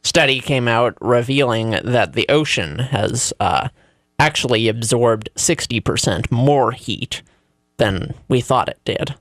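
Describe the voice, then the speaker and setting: A man co-hosting a casual talk show, with dry, self-deprecating humor and halting, repetitive phrasing. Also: mid-range voice, expressive, a bit bright, no room sound. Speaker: radio show co-host. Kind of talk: casual banter and commentary.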